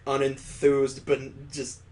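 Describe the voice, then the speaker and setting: A man's voice: mid-range voice, completely flat delivery, slow, darkish tone. A man hosting a casual read-aloud of a visual novel, rambling off into wry asides as he goes. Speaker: gaming host.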